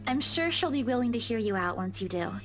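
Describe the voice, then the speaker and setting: A woman voicing a kind, supportive young friend in a visual novel, in a gentle, easygoing conversational manner. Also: fairly high pitch, animated, brisk, faint music behind, close to an everyday voice. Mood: gently awkward.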